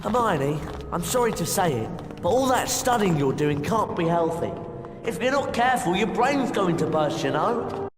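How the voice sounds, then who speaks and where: A man's voice: sits high, big pitch swings, normal speed, music in the background. A young man playing a friendly, frank schoolboy wizard in a video game, with a chatty manner. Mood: concerned, teasing. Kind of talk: video game character acting.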